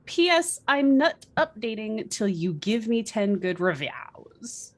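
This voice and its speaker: A woman with a fairly high, very lively voice reads comic author's notes. She is slow and deliberate, mixing the reading with casual chat as a guest. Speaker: guest reader.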